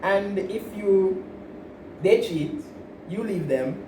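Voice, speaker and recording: A man's voice: high-pitched, takes it slowly. A man co-hosting a podcast, giving rambling, laughing advice in casual chat, with many restarts. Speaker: podcast co-host. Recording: roomy, distant mic with noise.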